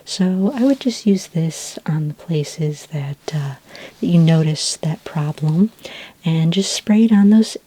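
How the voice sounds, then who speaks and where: Woman, soft-spoken ASMR consultant role: low, dark and mellow, half-whispered, gently reassuring. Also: lively intonation, slow, husky. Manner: hesitant, gently explanatory, reassuring. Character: calm, reassuring female sci-fi skin care consultant. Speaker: ASMR roleplay performer as a skin care consultant.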